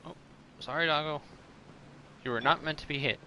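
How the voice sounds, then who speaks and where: A man's voice: fairly high pitch, moderate pace, bright, forceful tone, slight background noise, poor audio. A man doing casual gameplay commentary, talking aloud to the characters in the game as he streams.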